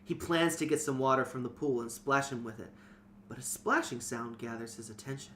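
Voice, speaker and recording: A man's voice: mid-range voice, brisk, a bit bright. A man reading a fantasy novel aloud as narrator, in an informal scripted storytelling style. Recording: noticeable background noise.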